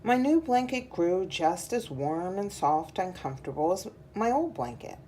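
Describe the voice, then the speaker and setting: A woman reading a children's picture book aloud in a measured, deliberate storytelling style. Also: on the low side, very animated, warm tone, slight background noise.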